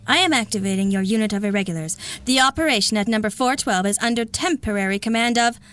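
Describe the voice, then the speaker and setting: Radio serial handler, woman acting stern and clipped, formal authority, slightly high pitch. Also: quick pace, fairly bright tone, lightly acted. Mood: commanding. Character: stern, composed female spy-network dispatcher. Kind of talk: 1930s radio drama acting.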